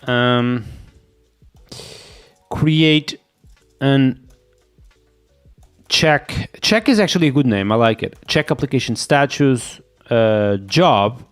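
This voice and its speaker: A man with a Portuguese accent, a native Portuguese speaker, streaming live as he codes. He thinks aloud, muttering to himself and repeating quick agreements as he reads, and he sounds sick. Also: medium pitch, lively intonation, clean tone, faint music behind. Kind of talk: thinking aloud while coding, chatting with viewers.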